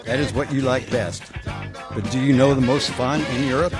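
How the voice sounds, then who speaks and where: A man's voice: mid-range voice, steady tone, normal speed, natural voice. A man playing a chatty, worldly hitman in a stilted radio comedy. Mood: explaining. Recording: guitar music behind dialogue.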